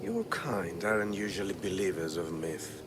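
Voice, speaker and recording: An actor playing a middle-aged villain in a video game, smooth and cold, speaking in a measured, condescending way. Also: male voice, deep voice, sing-song, noisy background. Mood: coolly menacing. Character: smooth, sinister middle-aged male schemer and employer. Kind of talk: video game cutscene acting.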